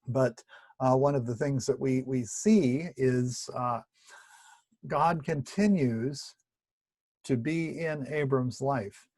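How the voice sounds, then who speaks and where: Pastor leading a home Bible study, scratchy tired voice, dry close sound, chatty. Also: male voice, medium pitch, takes it slowly, no room sound. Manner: chatty, warm asides with many fillers and restarts. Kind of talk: casual Bible study talk. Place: at home, indoors. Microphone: video recording at home.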